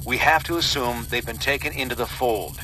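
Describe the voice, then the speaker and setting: A man's voice: mid-range voice, a little fast, sharp tone, lightly acted. Man playing solemn commander, noisy backdrop. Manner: solemn, formal. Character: sober, determined male superhero commander briefing allies. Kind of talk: scripted mission briefing, game cutscene. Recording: clear background noise.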